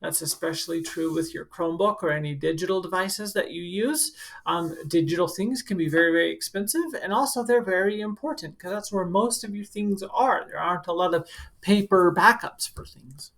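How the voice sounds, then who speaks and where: A woman reads a picture book aloud in the role of a school counselor. Her voice sits fairly low for a woman and has a strong creaky fry. She speaks directly to young listeners, slipping between the text and informal explanations. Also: normal speed. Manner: instructive, speaking directly to children.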